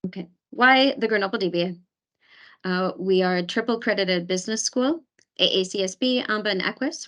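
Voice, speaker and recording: A woman's voice: medium pitch, a bit bright. A woman hosting an online webinar as program advisor, talking with many fillers and restarts over a video call. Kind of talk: webinar presentation.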